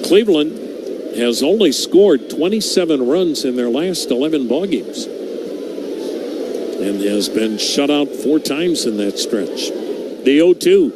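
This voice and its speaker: A man's voice: on the high side, expressive, slow pace, background noise. A man doing live baseball play-by-play commentary as a radio announcer.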